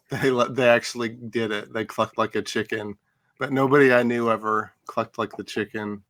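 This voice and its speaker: Mid-pitched man in casual chat. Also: a bit bright. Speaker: podcast regular.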